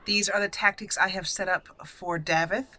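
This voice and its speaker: A woman's voice: medium pitch, steady tone, normal speed, sharp tone, a little hiss or hum. A woman hosting a casual game walkthrough, thinking aloud in short fragments as she plays. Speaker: gaming channel host.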